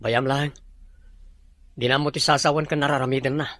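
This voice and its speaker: A man's voice: mid-range voice, level tone, moderate pace, fairly bright tone, no echo, lightly acted. A man playing a stubborn businessman's son who avoids marriage, in an Ilocano drama. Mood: casual, defiant. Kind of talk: Ilocano radio-style drama acting.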